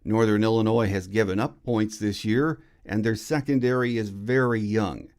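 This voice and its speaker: A man's voice: lowish voice, steady tone, normal speed, a little mellow. A man in his sixties with an American accent, hosting a sports podcast with introductions and interview questions.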